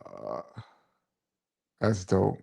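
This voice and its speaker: A man's voice: sits low, big pitch swings, drawn-out words, gravelly, dark tone. Man hosting a casual live stream. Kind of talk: casual live chat, reading viewer comments and reminiscing.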